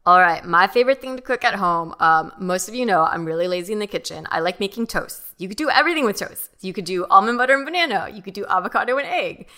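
Woman podcast co-host, bright voice, laid-back chatty read. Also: mid-range voice, fast, few pauses. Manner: relaxed, self-deprecating, wraps up the show. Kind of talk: casual chat.

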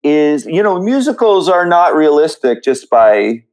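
A man reflecting in an interview as a theatre writer, speaking haltingly and pausing often mid-thought. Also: a little high, sing-song, a little mellow. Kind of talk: reflective panel interview talk.

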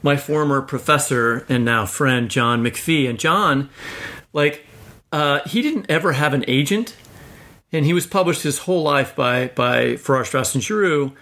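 A man's voice: medium pitch, unhurried, bright, forceful tone. A man hosting a podcast, telling anecdotes casually with informal asides. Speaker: podcast host.

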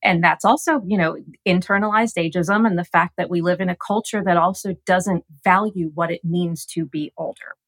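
A woman's voice: lowish voice, steady tone, moderate pace, few pauses, breathy edge, dry recording. Articulate woman guest explaining in a dry studio. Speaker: gerontologist guest. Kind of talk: radio interview.

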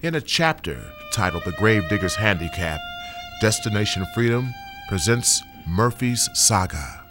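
A man's voice: on the low side, expressive, a little slow. A man giving formal, grand narration as the announcer of an old radio drama. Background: music.

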